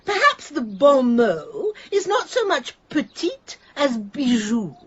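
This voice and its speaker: Gushing, high-pitched village snob. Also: female voice, big pitch swings, slow, husky, dull audio, heavily acted. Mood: gushing, smug. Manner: affected, gushing, pompous. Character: condescending, snobbish village woman neighbour, theatrical and nosy. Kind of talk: radio comedy drama acting.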